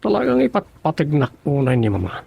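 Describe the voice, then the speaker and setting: Lively, creaky-voiced cocky young man. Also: a little high, brisk, a little hiss or hum, exaggerated voice. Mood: boastful, excited. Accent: Filipino, Ilocano. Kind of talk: Ilocano radio drama acting.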